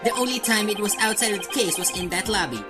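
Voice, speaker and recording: A woman's voice: medium pitch, fast talker, natural voice. A woman voicing a sly, self-assured male prosecutor with a formal, oily manner in an amateur game dub. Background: game music.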